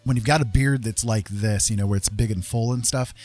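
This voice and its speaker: A man's voice: lowish voice, fairly quick, a little mellow, soft background music. A man hosting a casual livestream, chatting in a jokey, rambling way. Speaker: livestream host and artist.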